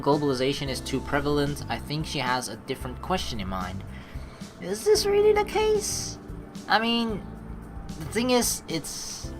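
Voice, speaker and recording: A let's play host from the United States, reading a visual novel aloud and rambling through running commentary over game music. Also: medium pitch. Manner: rambling, thinks aloud, restarts and asides.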